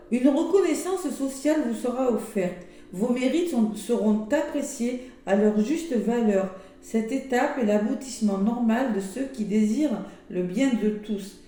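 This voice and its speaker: A hoarse woman giving a casual weekly astrology card reading, chatty and full of fillers and exclamations. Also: on the high side, mellow. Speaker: tarot and oracle card reader. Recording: very echoey room with faint music.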